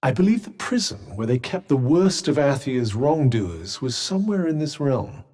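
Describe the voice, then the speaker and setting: Game companion, sentient magic cuff: British, pompous and articulate, dry sarcasm, highly animated pitch. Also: male voice, normal speed. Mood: erudite, explanatory. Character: sardonic, haughty talking magical cuff, erudite male companion. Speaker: voice actor. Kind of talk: video game companion dialogue.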